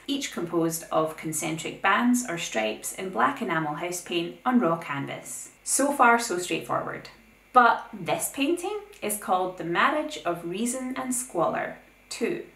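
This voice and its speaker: A young woman hosting an art video, reading a scripted essay with opinionated, lively asides. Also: medium pitch, reverberant, poor audio. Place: plain indoor room.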